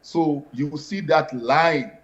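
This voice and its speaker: Measured man, lo-fi sound. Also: fairly high pitch. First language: Yoruba. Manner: measured, explanatory, formal wording. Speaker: guest, spiritual practitioner. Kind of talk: interview answers.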